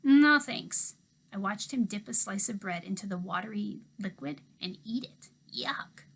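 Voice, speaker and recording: Bright-voiced woman reading a story aloud. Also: medium pitch, moderate pace, gravelly, slightly rough, low-quality recording. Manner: expressive storytelling, hushed asides. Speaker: teacher. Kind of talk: reading a children's novel aloud.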